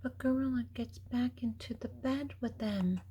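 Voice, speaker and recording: An older woman with a husky voice reading a picture book aloud to young children, explaining gently and simply with pauses. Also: a little high, muffled recording, a little hiss or hum. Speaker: storybook reader and host.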